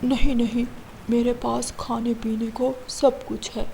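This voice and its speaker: A woman's voice: fairly high pitch, flat delivery, unhurried, gravelly, warm tone, slight background noise, poor audio. A woman voicing a kindly travelling bird who seeks shelter, in a cartoon. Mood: reassuring.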